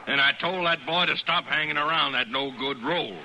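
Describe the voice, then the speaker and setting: A man's voice: on the high side, moderate pace, pushed and bright. An actor playing a belligerent, bullying cattleman father with a rural Western American accent in an old-time radio western. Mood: stubborn, scornful. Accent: rural American West. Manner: blustering, threatening, folksy. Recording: noisy old radio recording.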